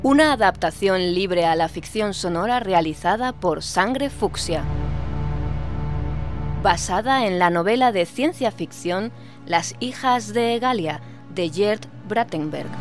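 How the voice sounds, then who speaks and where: A woman's voice: mid-range voice, expressive, unhurried. Woman announcer over prominent music. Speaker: credits announcer. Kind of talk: scripted credits announcement.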